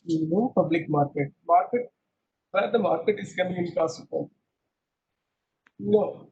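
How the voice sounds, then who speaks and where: A man's voice: high-pitched, measured pace, creaky, a little mellow, dull audio. Man teaching a class on a video call. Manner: mixes English with Hindi, questioning, repeats himself. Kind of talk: online class lecture, quizzing students. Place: small room indoors. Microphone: laptop webcam on a video call.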